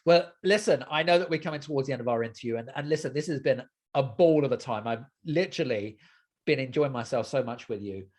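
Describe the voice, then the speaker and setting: A man's voice: medium pitch, fast talker, a little mellow. A man hosting a casual podcast interview, chatty and inclined to repeat his affirmations and phrases. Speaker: podcast host.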